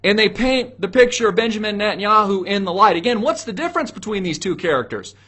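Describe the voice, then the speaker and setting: A man with a notably high-pitched voice delivers solo political commentary as an online host. He is opinionated and preachy, leaning hard on his points and addressing viewers directly with rhetorical questions. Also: animated, fast talker, bright, forceful tone.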